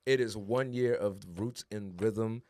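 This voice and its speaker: A man's voice: lowish voice, fairly even intonation, unhurried, mellow, no room sound. A man co-hosting a podcast, talking in an earnest, reflective way that builds to emphatic urging. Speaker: podcast co-host. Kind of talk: casual podcast conversation.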